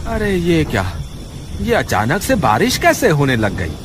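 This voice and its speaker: Man acting a high-pitched, fretful cartoon woodcutter. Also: wildly swooping pitch, unhurried, background noise. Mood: worried. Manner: exclaiming, fretful. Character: frightened village woodcutter, a husband, jumpy but stubborn. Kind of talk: Hindi horror cartoon dialogue. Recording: music behind the voice.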